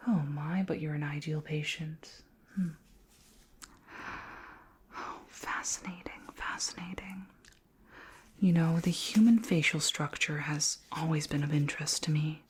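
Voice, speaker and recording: A woman voicing a curious, flirty alien queen in a whispered ASMR roleplay, breathy and close to the microphone, with formal, musing speech. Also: low voice, exaggerated voice. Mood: flirty, teasing. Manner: slow, deliberate, formal wording with musing hums. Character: flirty alien queen, curious, doting, condescendingly fascinated by humans.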